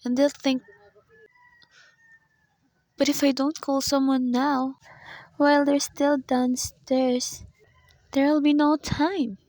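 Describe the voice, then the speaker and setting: A woman's voice: high voice, little pitch movement, slow pace, pauses often, darkish tone, slight background noise, low-quality recording. A woman playing an anxious, demanding invalid wife in a radio play, with an imperious, agitated way of talking. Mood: frightened.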